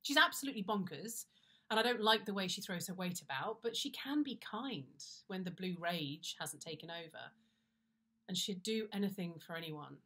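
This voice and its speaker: A middle-aged British woman reading a comic first-person story in a conversational, wry and confiding way with expressive timing. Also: lowish voice, normal speed, slightly rough. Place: indoors, plain-walled room.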